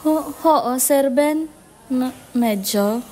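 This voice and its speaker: A woman's voice: high-pitched, very slow, darkish tone, a little hiss or hum. A woman playing an anxious, hesitant young female office worker in an amateur radio drama, with a Filipino accent. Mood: hesitant, uneasy.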